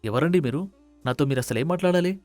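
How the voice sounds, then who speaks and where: A man's voice: fairly high pitch, animated, fast talker, a little mellow, dry recording, soft background music, close to an everyday voice. A man playing an awkward, flustered young bachelor son in a family story. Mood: baffled.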